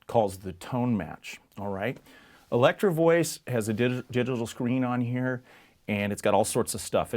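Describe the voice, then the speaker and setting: A man's voice: mid-range voice, darkish tone. A man presenting for a music store, comparing products in a casual, plain-spoken, conversational way as he explains things to the viewer.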